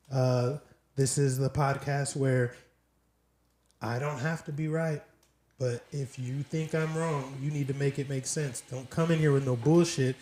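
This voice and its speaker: A man's voice: medium pitch, a little mellow, roomy sound, slight background noise. Blunt, swearing man hosting a podcast. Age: 30s. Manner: blunt, brash, swears freely. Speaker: podcast host. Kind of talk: casual, profane podcast chat.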